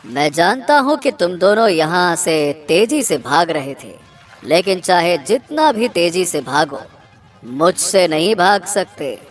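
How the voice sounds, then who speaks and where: Woman voicing a slow, menacing magic swan. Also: on the low side, big pitch swings, soft background music. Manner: slow, commanding, taunting. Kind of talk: Hindi kids' cartoon villain acting.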